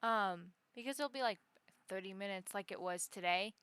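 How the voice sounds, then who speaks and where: A woman's voice: medium pitch, normal speed, fairly bright tone, clear, resonant tone, dry recording. A woman chatting casually on a live game stream, rambling and correcting herself as she talks to her chat. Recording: music behind the voice.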